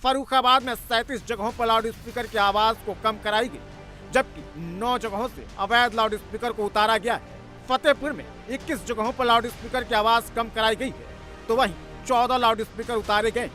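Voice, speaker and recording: A strikingly high-pitched man narrates a news report with a sharp, forceful tone. His scripted delivery is dramatic and emphatic, with music playing clearly behind him. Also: steady tone, moderate pace.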